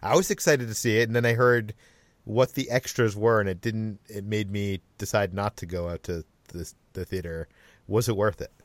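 A man hosting a podcast in a casual, low-key way, passing questions to his co-hosts. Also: fairly even intonation, moderate pace, no room sound. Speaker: podcast host. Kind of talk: casual chat.

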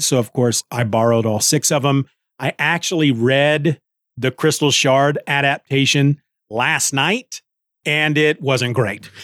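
A man's voice: a little high, no echo, studio quality. A middle-aged man hosting a solo podcast, giving a casual book review in a rambling, conversational way, as if thinking aloud. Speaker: podcast host.

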